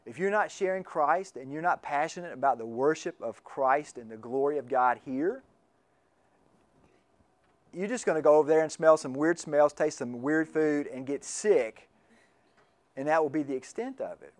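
A man with a slightly higher voice teaches a class in a loose, conversational way. He often doubles back on his words and restarts sentences, and he keeps checking in with the room. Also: lively intonation, moderate pace. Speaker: lecturer on missions and medicine. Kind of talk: classroom lecture.

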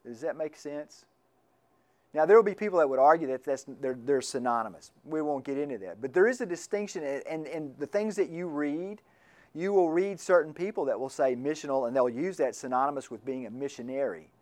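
Man, slightly high voice, informal classroom lecturer, talks in restarts and asides. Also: lively intonation, fairly quick, darkish tone. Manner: conversational, repeats words while restarting sentences. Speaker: lecturer on missions and medicine.

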